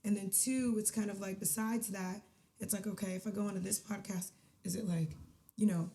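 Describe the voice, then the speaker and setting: Outgoing woman, warm mellow voice. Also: medium pitch, moderate pace. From United States, DMV area. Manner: outgoing, animated storytelling with frequent "right?"; laughs often. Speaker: podcast guest. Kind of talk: casual chat.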